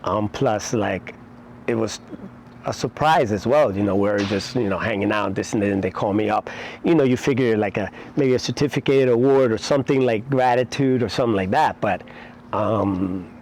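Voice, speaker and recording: Low-voiced middle-aged New man, halting. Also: darkish tone, slight background noise. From Puerto Rico-born, raised in New York City. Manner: halting, conversational, with many pauses. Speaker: martial arts instructor, interview guest. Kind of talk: casual interview recollection.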